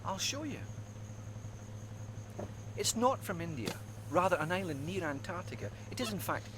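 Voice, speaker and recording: A man's voice: high voice, expressive, moderate pace, noisy background. An actor playing a haughty male scientist villain, formal, condescending and theatrical, in a video game cutscene. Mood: smug, intent.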